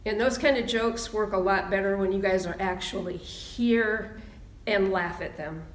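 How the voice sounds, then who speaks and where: Woman minister in an echoey room. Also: medium pitch. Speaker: minister welcoming an online congregation. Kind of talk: church welcome and reading. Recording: roomy echo.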